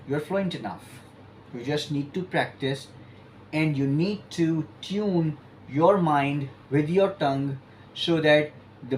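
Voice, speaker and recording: A man teaching an exam-prep lesson, explaining points emphatically and stretching key words between pauses. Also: a little high, darkish tone, a little hiss or hum, rough recording. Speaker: IELTS teacher.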